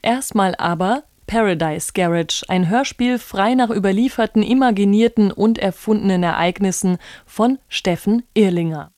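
A woman's voice: mid-range voice, moderate pace, few pauses, darkish tone, no echo. A woman presenting on radio, reading scripted programme announcements in a studio.

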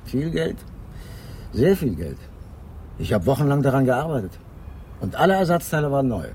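An actor playing a gruff, proud motorcycle mechanic in a German radio crime drama, giving curt, clipped answers. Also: male voice, mid-range voice, big pitch swings, normal speed, a little mellow, a little hiss or hum, lightly acted. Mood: proud, terse.

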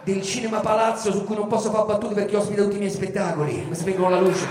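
A man's voice: high-pitched, steady tone, fast talker. A man with a Roman accent performing a satirical theatrical monologue in an emphatic, repetitive litany. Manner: emphatic, repetitive litany delivery swinging from murmur to shouting. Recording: echoey reverberant space, music behind. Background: music.

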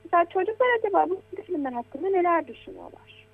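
An adult woman talking conversationally as a programme guest, encouraging and gently explaining things as if to a child. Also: very high voice, animated, rapid-fire. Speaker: guest commentator on children's media. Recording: tinny, phone-like narrow band.

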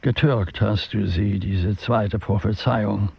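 Skeptical old-school court companion, slow husky rasp, sardonic, slightly dull drama recording. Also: male voice, on the low side, fairly even intonation, exaggerated voice. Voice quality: gravelly, husky. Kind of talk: radio drama acting.

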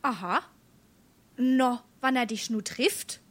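Junge Hessin, hell und hoch. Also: female voice, fairly high pitch, slow, fairly bright tone. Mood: coaxing. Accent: Darmstadt Hessian. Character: pert, tender young daughter in love. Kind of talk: stage dialect comedy acting.

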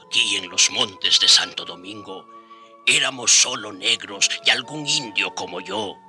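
An actor playing a wise old Indian rebel in a radio drama, reflective and devout, speaking solemnly and with passion. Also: male voice, fairly low pitch, bright, forceful tone, faint music behind. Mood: solemn, hopeful. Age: older. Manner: solemn, impassioned, slow and deliberate. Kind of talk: radio drama acting, part narration.

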